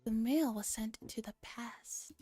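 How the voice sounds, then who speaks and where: A woman's voice: a little high, takes it slowly, mellow. A woman voicing a grandiose young male mad scientist from a visual novel, pompous and theatrical in delivery. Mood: grandly revealing.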